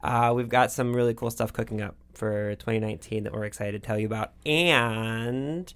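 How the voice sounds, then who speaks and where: A man's voice: fairly low pitch, a bit bright, clear, resonant tone. A man hosting a podcast, casual and enthusiastic, introducing a guest and asking questions. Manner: upbeat, enthusiastic, quick asides. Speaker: podcast host.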